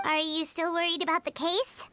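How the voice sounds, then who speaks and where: Tiny squeaky fairy sidekick. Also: female voice, little pitch movement, moderate pace, bright, forceful tone, slight background noise. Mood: curious, chatty. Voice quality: squeaky, piercing. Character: tiny chattering fairy companion, childlike, cheeky. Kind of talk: anime video game voice acting.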